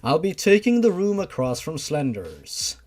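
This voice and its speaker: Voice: mid-range voice, big pitch swings, a little slow, darkish tone. A narrator voicing a businesslike monster uncle in a horror story reading. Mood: matter-of-fact. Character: businesslike monster uncle, settling family terms.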